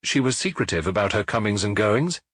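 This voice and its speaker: A man reading an audiobook, voicing a middle-aged male police officer who gives his report in a measured, matter-of-fact way. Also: on the low side, expressive, a bit bright, no echo. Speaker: audiobook reader.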